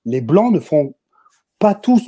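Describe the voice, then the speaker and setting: A man with a Parisian accent speaks as a guest in a heated discussion. His voice is soft-toned and a little husky, and he hammers his points home as if lecturing the audience directly. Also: on the high side, very animated, slow. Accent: Parisian French. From France. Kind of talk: opinionated discussion commentary.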